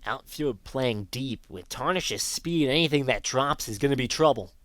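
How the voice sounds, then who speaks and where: A man's voice: medium pitch, animated, moderate pace, a bit bright, no echo. A man calling live baseball play-by-play as the announcer of a sports broadcast.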